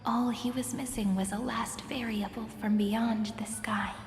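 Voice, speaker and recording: A woman's voice: fairly high pitch, fairly even intonation, a little slow, faint music behind. A woman voicing a solemn, wistful chronicler in a fantasy game, speaking in a poetic, reflective manner. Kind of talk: dramatic game narration. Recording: echoing hall reverb.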